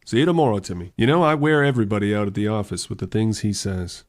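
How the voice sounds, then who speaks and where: A man's voice: lowish voice, fast, natural voice. A man playing a genial, patient, wry lawyer suitor in an old-time radio drama.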